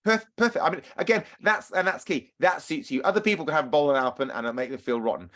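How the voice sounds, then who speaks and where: Friendly male coach hosting a webinar Q&A, casual and lively. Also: a little high, quick pace. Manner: chatty, upbeat, asks audience questions. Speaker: running coach. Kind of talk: webinar talk and Q&A.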